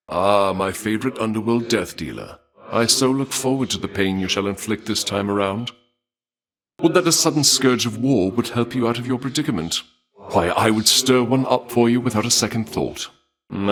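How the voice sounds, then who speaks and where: Video game war god: low-voiced, suave and bloodthirsty, formal archaic delivery, deliberate and courteously menacing. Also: male voice, a bit bright. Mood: eager, conspiratorial, then suavely persuasive. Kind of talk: theatrical video game character dialogue.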